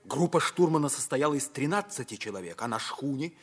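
A determined, husky young pilot. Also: male voice, medium pitch, lively intonation, fairly quick. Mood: urgent, certain. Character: determined young Soviet polar pilot, frank and certain. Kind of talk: 1950s radio play acting.

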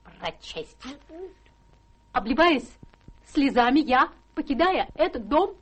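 An actress playing a timid, flustered, sentimental servant-companion in radio-drama style, husky and breathy, with a hesitant, tremulous manner. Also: female voice, high voice, big pitch swings, slow, full character voice. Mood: solemn, tearful. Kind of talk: stage-trained radio drama acting.

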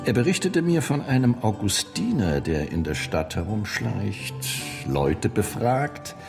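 Radio play courtier: powerful, sly, insinuating, low register with animated intonation. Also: male voice, creaky, music in the background, exaggerated voice. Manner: deliberate, insinuating. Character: sly, unhurried man of power at imperial court. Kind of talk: German historical radio drama acting.

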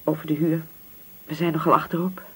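An actress playing a poor, anxious, grieving widow in a radio drama, speaking hesitantly and submissively. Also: female voice, sits low, flat delivery, brisk, slightly rough. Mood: subdued. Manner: hesitant, repeating words, submissive.

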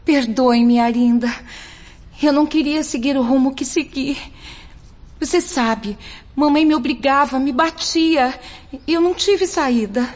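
A woman acts a remorseful young woman in a radio serial. Her voice sits high, with an earnest, pleading delivery. The recording is dull and lo-fi. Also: unhurried. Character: meek, remorseful young Portuguese woman seeking new life. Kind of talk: radio drama acting.

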